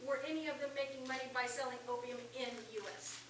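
A woman in the audience asks a question in a loud, deliberate voice, heard from far off in a large room. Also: sits high, steady tone. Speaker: audience member asking a question. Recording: echoey and far from the mic.

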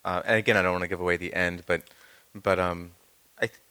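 A man moderating an onstage conversation, halting with restarts and stammered repeats. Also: deep voice, steady tone, smooth. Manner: hesitant restarts, warm encouragement. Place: live event with audience.

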